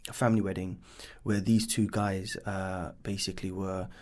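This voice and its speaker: A man in his thirties with a London accent, speaking in a fairly low voice. He talks as a podcast guest and tells his story loosely and casually. His sentences drift, with many ums and repeated asides like "should I say". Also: level tone, moderate pace, darkish tone. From born in Bangladesh, raised in East London. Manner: rambling, leans on "should I say" and "um". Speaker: business founder guest.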